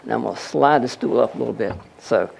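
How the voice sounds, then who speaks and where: A man's voice: medium pitch, fast, gravelly, a little mellow, low-quality recording. A man presenting a healing seminar, explaining a live demonstration to an audience and repeatedly pointing things out. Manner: explanatory, audience-addressing, frequent "look at that".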